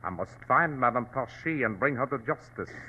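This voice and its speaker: An actor playing a dignified, ruthless provincial governor from China, courtly and formal, in 1940s radio drama style. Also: male voice, mid-range voice, expressive, normal speed, bright, forceful tone, slight background noise. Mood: determined. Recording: thin, narrow-band old radio recording.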